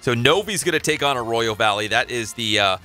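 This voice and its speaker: A man's voice: medium pitch, fairly quick, faint music behind. A man hosting a sports radio show in a studio, talking casually and reading station sign-offs. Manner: lively, promo-style sign-offs. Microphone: studio boom mic.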